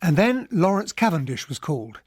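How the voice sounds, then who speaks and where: An observant English gentleman telling a detective story for radio. His voice sits a little high for a man, with a husky grain. He speaks in a polished, reflective way, recorded very dry. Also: big pitch swings, brisk, dry recording. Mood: reflective, intrigued. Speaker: narrator. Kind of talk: radio drama narration and dialogue.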